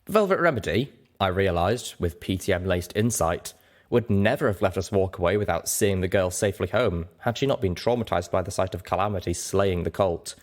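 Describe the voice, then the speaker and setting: A man's voice: low-pitched, expressive, a little fast. A man reading first-person fiction aloud as scripted audiobook narration. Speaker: audiobook reader.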